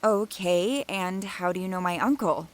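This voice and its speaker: A woman's voice: on the low side, normal speed, a little hiss or hum, natural voice. A woman playing a skittish, sardonic young college student in an audio drama, casual and hesitant, often muttering to herself. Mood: wary, puzzled. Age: young adult. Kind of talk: naturalistic audio drama acting.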